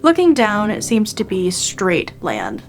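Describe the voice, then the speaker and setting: A woman narrating an improvised tabletop game as game master, pausing to think as she describes the scene. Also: mid-range voice, wildly swooping pitch, faint music behind. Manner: halting, thinking aloud.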